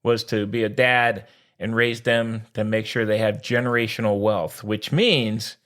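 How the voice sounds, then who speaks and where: A man's voice: lowish voice, steady tone, a little slow, a bit bright. An American man co-hosting a sports podcast, chatting casually in a blunt, informal way with mildly crude slang.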